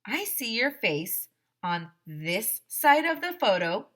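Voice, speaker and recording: A storybook school principal, played by a woman, calm and probing. He asks his questions deliberately in a bright, forward voice, with dry doubt. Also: a little high, voice pushed up, exaggerated voice. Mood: questioning, skeptical. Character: adult male school principal, calmly questioning and skeptical. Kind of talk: children's chapter book read-aloud.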